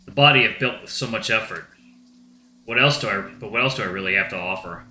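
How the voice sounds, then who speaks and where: A man's voice: lowish voice, quick pace, bright, forceful tone. A man narrating a visual novel in the first person, in a reflective, subdued way. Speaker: let's-play narrator. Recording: roomy echo with faint guitar music.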